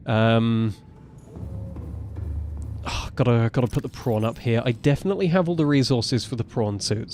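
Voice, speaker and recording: A man in his twenties with a naturally deep voice, rambling casually as he streams a game live. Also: darkish tone, slight background noise. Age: 20s. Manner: chatty, rambling, self-correcting. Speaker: gaming streamer. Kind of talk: casual live gameplay commentary.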